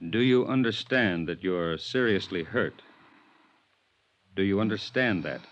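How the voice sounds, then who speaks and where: A gruff, laconic police sergeant in a 1950s radio drama. His voice is deep and weary, with plain, deliberate delivery. The vintage recording sounds muffled. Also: expressive, a bit bright. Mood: official, reluctant. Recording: dull old radio recording.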